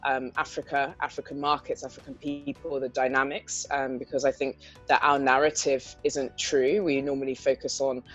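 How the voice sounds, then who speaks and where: A woman's voice: extremely low pitch, level tone, normal speed, fairly bright tone. A woman from England with a London-area accent, answering interview questions as a guest entrepreneur in a thoughtful, articulate way with frequent "um" fillers. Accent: English, London area. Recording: dull lo-fi audio with faint guitar music.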